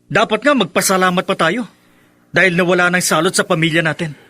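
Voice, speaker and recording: An actor playing a spiteful, scornful grown son in a Filipino radio drama, sarcastic and emphatic. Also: male voice, high voice, fairly quick, bright, forceful tone, dry recording. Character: spiteful, scornful grown son who despises his stepmother.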